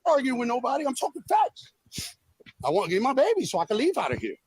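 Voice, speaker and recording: A man with a deep, gravelly voice, recording himself on a phone while he angrily insists on taking his daughter home. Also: wildly swooping pitch, fast talker, no room sound. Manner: heated, insistent, repetitive. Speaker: father recording a confrontation. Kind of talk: agitated self-recorded rant.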